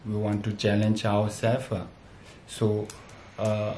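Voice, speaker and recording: A man in his thirties from Tibet, speaking as a non-native with a Tibetan accent, chatting casually and haltingly as a family vlogger and repeating himself. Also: fairly low pitch, monotone, mellow. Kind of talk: casual vlog chat. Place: small bright room at home. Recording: echoey room, faint background noise.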